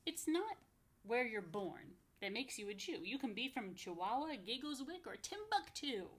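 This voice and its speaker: American woman with lively, animated pitch. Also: moderate pace, a little hiss or hum. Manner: expressive, asks listeners playful questions. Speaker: rabbi, story time host for young children. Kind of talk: children's picture book read-aloud with chatty asides.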